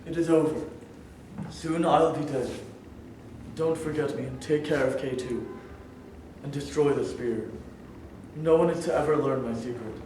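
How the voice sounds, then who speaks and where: A man's voice: mid-range voice, a little slow, pauses often, background noise, lightly acted. A man in a staged reading playing a grave, commanding prehistoric king who is dying. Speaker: actor. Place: echoey room at a staged reading. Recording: very echoey room, distant mic.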